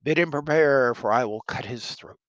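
A man's voice: medium pitch, moderate pace, a bit bright, no echo, highs cut off, natural voice. A man voicing a blustering, threatening English soldier in a Shakespeare play read-aloud, pompous and bullying as he declaims.

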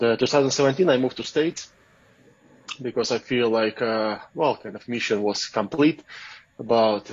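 A man with a Russian accent speaks in a fairly low voice as an interview guest. He is hesitant, often pausing to find the next word. The sound is slightly dull and lo-fi. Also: dry recording. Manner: halting, hesitant, searching for words. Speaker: guest, kettlebell athlete and coach. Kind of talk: interview answers, casual talk.

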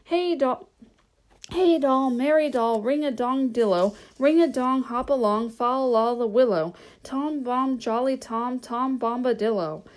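A woman voicing a jolly, carefree old man of the woods who sings loud, lilting nonsense in a storybook. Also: fairly high pitch, slow. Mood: merry.

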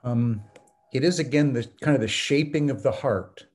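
A man with a middle-pitched voice talks through a theology topic as a podcast guest. He teaches in an earnest, unhurried way and fills his pauses with ums. He sounds like a pastor and professor explaining to a colleague. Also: darkish tone. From United States. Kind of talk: conversational podcast interview.

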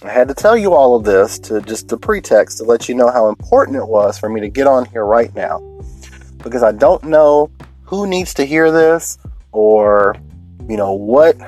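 Deep-voiced man podcasting from a parked car. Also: animated, unhurried, a little mellow, faint music behind. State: getting over a cold, sniffly. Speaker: podcast host. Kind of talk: late-night solo podcast monologue. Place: inside a parked car at night.

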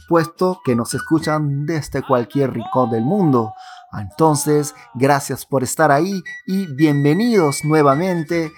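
A man from Peru with a Peruvian Amazonian accent, hosting a podcast for Spanish learners in a welcoming, measured way. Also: fairly high pitch. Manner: welcoming, measured, with some pauses and fillers. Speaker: podcast host.

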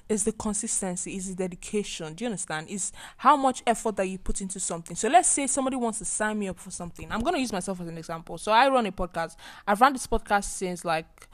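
A young adult woman hosting her own podcast, talking casually on her own, repeating points and checking in with "do you understand?". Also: mid-range voice. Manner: chatty, repeats herself, asks "do you understand?". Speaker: podcast host. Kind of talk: casual solo monologue.

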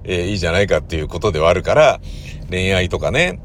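A man with a slightly low voice and very animated intonation talks casually on his own as a podcast host. He moves in halting, drawn-out phrases, often seeking agreement. A faint noise sits behind him. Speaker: host of a regular podcast, radio drama director. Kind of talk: casual solo talk, podcast diary.

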